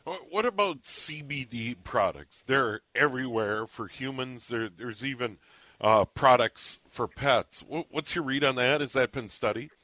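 A man's voice: mid-range voice, animated, measured pace, pushed and bright, dry recording. A man hosting a radio call-in show, asking questions with frequent stammered restarts. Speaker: radio talk show host. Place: radio studio. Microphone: studio microphone.

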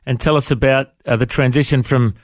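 A man's voice: medium pitch, steady tone, a little fast, dry recording, clean studio sound. A man hosting a podcast interview, prompting his guest with encouraging questions. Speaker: podcast host.

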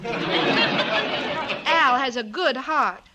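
A woman's voice: sits high, animated, extremely slow, crowd noise, noisy background, full character voice. An actress playing a sweet, dim-witted young woman in a 1940s radio comedy, naive, earnest and literal-minded, on an old recording. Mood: earnest, defensive. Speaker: comic lead of radio sitcom.

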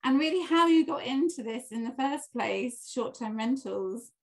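A woman's voice: high voice, lively intonation, moderate pace. A woman hosting a podcast interview, upbeat and welcoming. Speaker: podcast host.

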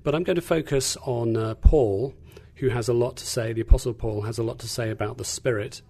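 A middle-aged man with an English accent, talking as a guest in a measured, articulate way with frequent fillers. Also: mid-range voice, mellow. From England. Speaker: guest, Bible teacher. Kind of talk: casual interview chat.

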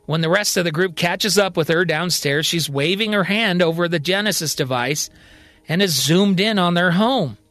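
A high-voiced man narrates a scripted serial audio drama as a storyteller. Prominent music plays behind his voice throughout. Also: moderate pace, a bit bright, no room sound.

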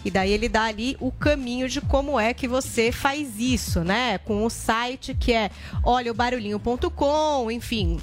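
A woman presents the news in a chatty commentary style, reading posts aloud as she goes. Her voice sits a little high, with faint music underneath. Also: normal speed.

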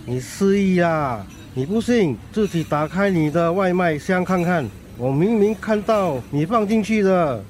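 A blunt middle-aged stall vendor with a very lively, swooping delivery. He is exclamatory, in a radio drama with faint vehicle noise behind him. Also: high-pitched, a little slow, hardly any pauses. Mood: indignant.

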